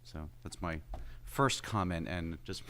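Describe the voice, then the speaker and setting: Man, deep voice. Committee member offering unscripted, slightly halting remarks with repeated phrases. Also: fairly bright tone, slight background noise. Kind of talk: unscripted remarks at a public meeting.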